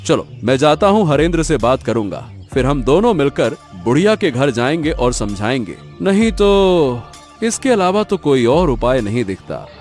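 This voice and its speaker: A man voicing a protective, resolute village father in an animated moral story, with exclamatory, expressive delivery. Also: mid-range voice, moderate pace, faint music behind, close to an everyday voice.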